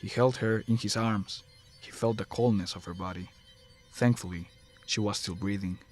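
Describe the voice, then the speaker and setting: Low-voiced man narrating an audio drama. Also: steady tone, moderate pace, soft background music. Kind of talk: scripted dramatic story narration.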